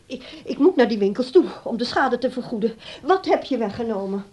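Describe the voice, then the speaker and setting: A worried grown daughter in a radio drama, reproachful and pleading. Her voice is fairly high yet soft-edged and mellow. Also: lively intonation, fairly quick. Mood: distressed, pleading. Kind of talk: radio play acting.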